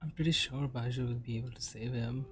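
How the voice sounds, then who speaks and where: A man's voice: mid-range voice, fairly even intonation, fast talker, fairly bright tone. A man giving informal, reactive commentary while playing a video game. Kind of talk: casual gameplay reaction commentary. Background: game music.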